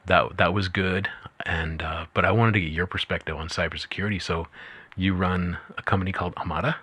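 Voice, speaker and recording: A very deep-voiced man hosting a podcast, asking questions in a loose, friendly, rambling way. His voice is dry and close to the mic. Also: fairly even intonation, fairly quick, sharp tone, husky, dry recording. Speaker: podcast host. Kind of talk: casual interview chat.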